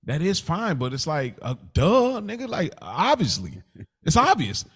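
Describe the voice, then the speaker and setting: A man's voice: a little high, huge pitch swings, measured pace, breathy edge. A man with an American accent debating casually as a podcast host, slangy and repeating phrases to push his point.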